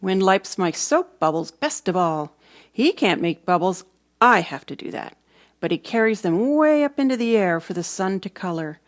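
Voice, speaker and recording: A woman reading a storybook aloud for children, with dramatic emphasis and hushed moments. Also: medium pitch, sing-song, normal speed. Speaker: storybook reader. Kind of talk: expressive children's read-aloud narration.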